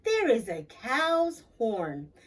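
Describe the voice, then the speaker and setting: A woman voicing a self-assured, excitable female farm cow in a storybook, proudly exclaiming. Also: on the high side, very slow, breathy edge, far from the mic, full character voice. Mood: excited.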